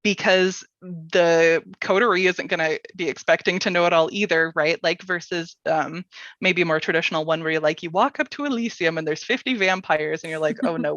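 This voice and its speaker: Woman workshop presenter, slightly low voice, playful, casual explaining, dry dull lo-fi sound. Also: a bit bright, no room sound. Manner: playful, joking, enthusiastic about the material. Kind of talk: casual tabletop storytelling workshop talk.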